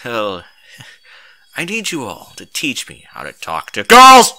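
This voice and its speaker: A man voicing a grandiose heroic pony savior in a comedic story, with booming, declamatory delivery. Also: wildly swooping pitch, measured pace, sharp tone, exaggerated voice. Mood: desperate. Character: grandiose heroic male pony savior, gallant yet desperate. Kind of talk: comedic fan-fiction story reading.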